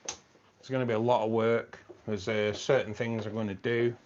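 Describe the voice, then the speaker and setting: Rambling live stream host, man with a lowish voice, muffled lo-fi audio, faint hiss behind. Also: little pitch movement, moderate pace, darkish tone. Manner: chatty, rambling, keeps repeating himself. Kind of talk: casual live stream chat. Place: home room with fish tanks.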